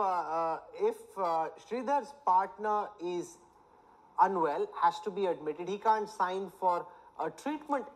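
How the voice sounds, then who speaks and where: Firm anchor moderating a debate. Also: male voice, high-pitched, poor audio. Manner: firm, interjecting, steering speakers. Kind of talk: live televised panel debate.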